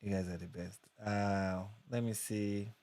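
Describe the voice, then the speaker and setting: A man's voice: fairly low pitch, fairly even intonation, unhurried, a little mellow. A young Nigerian man hosting a casual livestream, reading viewer comments and chatting with restarts and repeats. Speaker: livestream host.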